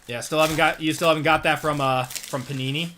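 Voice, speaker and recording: Bright-voiced Midwestern man running card breaks. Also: a little high, fast talker, a little hiss or hum. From Wisconsin, US. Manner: relaxed, chatty, reads out cards and answers chat. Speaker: sports card break host. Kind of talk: live-stream chat while opening cards.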